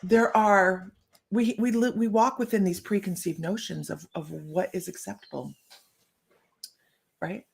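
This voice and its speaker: A woman's voice: mid-range voice, fairly even intonation, a little slow, vocal fry, pauses often. A middle-aged woman hosting a live stream as a psychic medium, chatting to viewers and greeting them by name.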